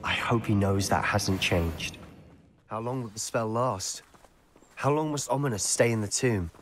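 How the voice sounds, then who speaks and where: A man's voice: fairly low pitch, measured pace, faint music behind, close to an everyday voice. A young man with a British accent voicing a troubled, fiercely loyal teenage wizard in a video game, intense and conflicted. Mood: remorseful. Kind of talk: video game character dialogue.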